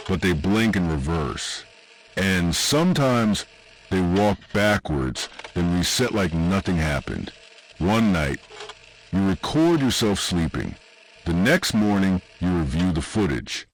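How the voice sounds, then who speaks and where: A man reading scripted horror narration in an ominous, deliberate way, with short dramatic phrases and pauses. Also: low-pitched, a little hiss or hum, low-quality recording. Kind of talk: scripted second-person analog horror narration.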